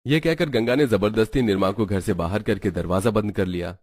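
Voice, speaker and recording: A man narrating a cartoon moral story in a steady, even storytelling voice. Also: fairly low pitch, brisk.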